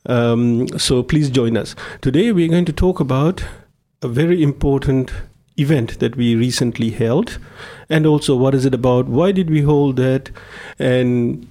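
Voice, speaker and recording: A man's voice: mid-range voice, measured pace, few pauses, warm tone. A man hosting a live community radio interview in a studio, effusive and given to repeating words for emphasis. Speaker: radio host.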